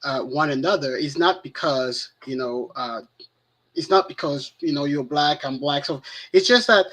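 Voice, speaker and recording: A man's voice: a little high, moderate pace, fairly bright tone. A man with a French accent, co-hosting a casual discussion and talking in an easygoing way, often asking "right?". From France. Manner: conversational, frequent "right?" and "you know" tags. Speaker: podcast co-host.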